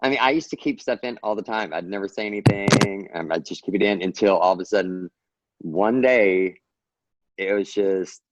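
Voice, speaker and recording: A man's voice: fairly low pitch, moderate pace, lo-fi sound. A man from Texas, a guest author talking earnestly and at length in a casual interview, giving encouraging advice.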